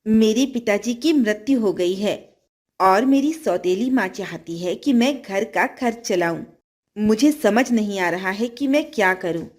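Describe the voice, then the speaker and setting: A poor young boy from a fairy tale speaks with humble, earnest politeness , voiced by a woman storyteller. The delivery is slow and melodic, kind-hearted and a little pleading. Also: medium pitch, animated, darkish tone, pitched below the performer's own voice. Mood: troubled, hesitant.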